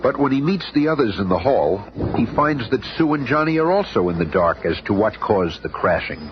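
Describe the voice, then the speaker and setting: A man's voice: medium pitch, big pitch swings, a little fast, lightly acted. A man narrating a 1970s radio drama as a dramatic storyteller. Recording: noisy, dull 1970s radio recording.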